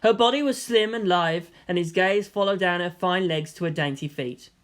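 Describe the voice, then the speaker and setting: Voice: medium pitch, moderate pace. A narrator reading an action story aloud in a dramatic, scripted storytelling style.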